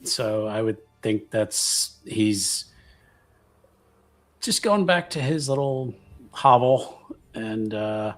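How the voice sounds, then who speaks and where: Man, lowish voice, slow hesitant pace, casual improvised game narration, faint music. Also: expressive. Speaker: tabletop game player.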